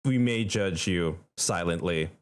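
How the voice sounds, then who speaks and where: A young man from the Philippines with a fairly low voice co-hosts a podcast. He talks in a loose, conversational way. His phrasing is informal and slangy, with emphatic points. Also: measured pace, smooth. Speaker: podcast co-host. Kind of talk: casual conversational chat.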